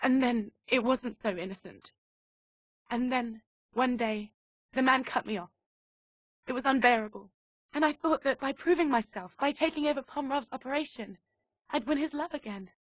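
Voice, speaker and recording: High-voiced seductive socialite spy. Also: female voice, normal speed, long pauses, no room sound. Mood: wistful, confessional. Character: poised, seductive socialite agent turned desperate traitor. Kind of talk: dramatic video game cutscene acting.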